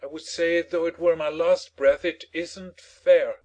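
A man's voice: a little high, fairly even intonation, unhurried, a little mellow, close to an everyday voice. A man playing a blustering, self-pitying father in a dramatized storybook reading, with a theatrical, indignant and emphatic delivery. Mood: indignant, sulky.

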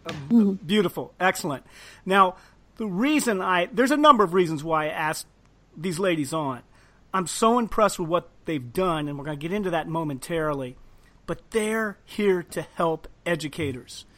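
A man's voice: high voice, expressive, moderate pace, sharp tone. Male interview host, encouraging. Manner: encouraging, affirming. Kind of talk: interview, casual chat.

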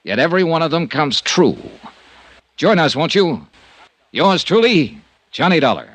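An actor playing a tough, world-weary insurance investigator in a 1950s radio detective drama. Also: male voice, on the high side, very animated, unhurried, heavy background noise. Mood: dramatic. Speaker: series lead and narrator.

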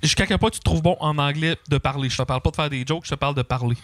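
A man speaks quickly, his pitch a little above most men's. He puts blunt, casual questions to a guest. The sound is dry and close to the mic. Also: fairly bright tone, no room sound. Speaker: podcast co-host.

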